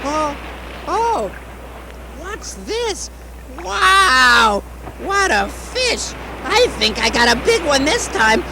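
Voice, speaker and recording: An excitable, superstitious fisherman puppet with a high voice and drawn-out delivery. He is full of exclamations and repetitive chants. Loud noise sits behind the recording. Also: heavily acted. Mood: excited. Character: excitable superstitious fisherman puppet, singing mantras, terrified of ghosts. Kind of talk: puppet show acting.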